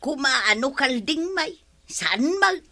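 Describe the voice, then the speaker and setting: A woman's voice: high-pitched, sing-song, slow pace, pushed and bright, heavily acted. An actress playing an aggrieved village woman in an Ilocano radio comedy drama. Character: aggrieved village woman complaining about neighbours' goats.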